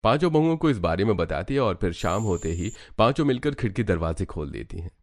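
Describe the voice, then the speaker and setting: A low-pitched male narrator reads a scripted cartoon tale. He delivers it as a storyteller between the characters' lines. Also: sing-song, a little fast.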